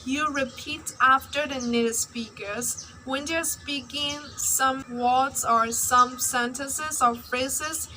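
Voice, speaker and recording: Bright, high-voiced young Burmese woman. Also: steady tone, takes it slowly, hardly any pauses, faint music behind, poor audio. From Myanmar. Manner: chatty, uses many fillers like "like". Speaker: language-learning vlogger. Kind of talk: casual personal vlog.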